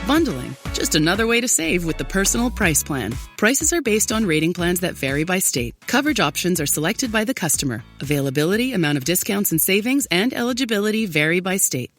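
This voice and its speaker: A woman's voice: on the low side, very animated, brisk, few pauses, a bit bright, soft background music. A woman announcer reading a scripted insurance advertisement.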